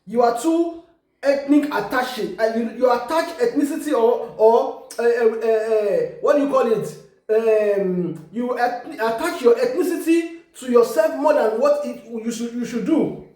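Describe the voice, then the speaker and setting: A Yoruba-accented Nigerian woman with a high voice argues her point in English, pressing hard and often stumbling over repeated words. Her talk rings around a strongly echoing room. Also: unhurried, mellow. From Ekiti, Nigeria. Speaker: online commentator. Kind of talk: casual opinion monologue. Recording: very echoey room.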